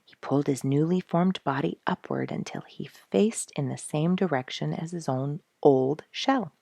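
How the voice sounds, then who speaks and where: A woman narrating a storybook from a script, expressive, with dramatic emphasis. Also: low voice, normal speed, gravelly, no room sound. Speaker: storyteller, show host.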